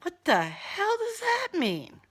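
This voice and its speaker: A woman's voice: very high voice, huge pitch swings, slow pace, fairly bright tone, voice pushed up, heavily acted. Woman acting a feisty, sarcastic young burglar woman. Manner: slangy, defiant, sardonic.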